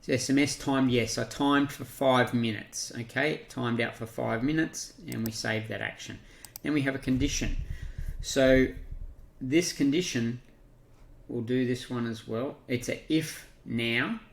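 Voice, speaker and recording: An Australian man giving an unscripted software walkthrough, talking through each step haltingly as he thinks aloud. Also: mid-range voice, vocal fry. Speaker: software tutorial presenter. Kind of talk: unscripted screen-share walkthrough.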